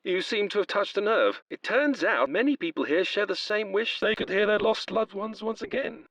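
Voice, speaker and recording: An actor playing a polite, wordy railway official in an audio drama, apologetic and eager to please. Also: male voice, high-pitched, animated, moderate pace, fairly bright tone, no room sound, poor audio. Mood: chatty, pleased.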